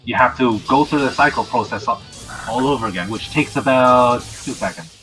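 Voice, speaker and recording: Bright-voiced man chatting on stream. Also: mid-range voice, moderate pace, soft background music. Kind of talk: casual chat during a game stream.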